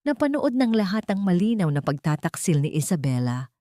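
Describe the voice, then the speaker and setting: A woman's voice: on the low side, big pitch swings, fairly quick, mellow, no echo. A woman storyteller reading a dramatic scripted story as narration.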